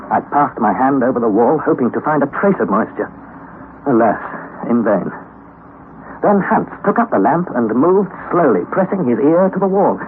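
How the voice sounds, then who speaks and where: Young expedition narrator, anxious and theatrical, highish voice, very animated, on tinny old radio. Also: male voice, background noise. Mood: suspenseful. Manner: earnest, theatrical. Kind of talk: dramatic old-time radio narration and acting.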